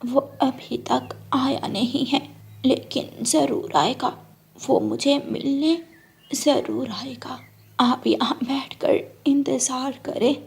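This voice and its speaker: Woman playing a slow, plaintive old woman. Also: high voice, fairly even intonation, vocal fry, husky, heavily acted. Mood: doting, reproachful. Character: old motherly cave woman, plaintive, doting, grumbling.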